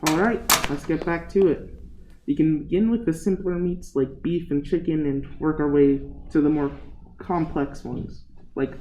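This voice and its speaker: A man playing an uneasy high-voiced lab scientist. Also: normal speed, dark tone, echoey room, slight background noise, lightly acted, rough recording. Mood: reluctant. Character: uneasy, grudging male scientist, the junior lab partner. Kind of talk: amateur radio play acting.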